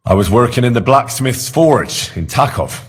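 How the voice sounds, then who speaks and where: An actor playing a down-to-earth, sardonic young blacksmith in a video game, with a husky voice and a dry, plain-spoken manner. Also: male voice, medium pitch, sing-song, moderate pace. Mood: easygoing.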